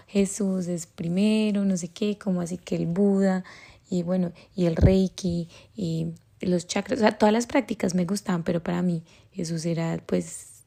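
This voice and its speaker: A woman's voice: mid-range voice, gravelly, warm tone. A young Colombian woman with a Colombian accent, hosting a podcast and telling a personal story in a rambling, casual way with many fillers. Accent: Colombian Spanish. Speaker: podcast host.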